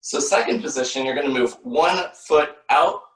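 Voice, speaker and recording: A man's voice: mid-range voice, normal speed, fairly bright tone. A man, a dancer, explaining movements step by step, recorded from a distance in a roomy space. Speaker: ballet dancer demonstrating positions. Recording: roomy, distant mic with faint noise.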